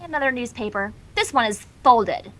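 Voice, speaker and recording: A woman's voice: on the high side, lively intonation, moderate pace, a bit bright. A woman voicing a boastful, scheming female cartoon pony magician who haughtily speaks of herself in the third person. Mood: haughty, demanding. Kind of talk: fan fiction character reading.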